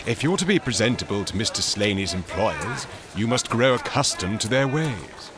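An actor playing a fussy, loyal English manservant in a radio drama, speaking with formal precision and exasperated politeness. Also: male voice, fairly low pitch, sing-song, moderate pace. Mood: fussy, instructing.

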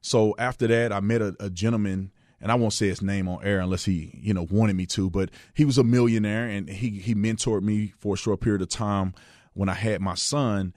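Texas guy hosting his radio show, lowish voice, dry close studio sound, chatty and laid back. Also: a little fast, dry recording. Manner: relaxed, chatty storytelling with frequent restarts. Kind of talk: casual radio monologue.

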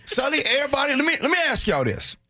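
A man hosting talk radio, bantering in an animated, colloquial way and putting his opinions straight to his co-hosts. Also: very high voice, rapid-fire, no room sound. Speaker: radio talk show host.

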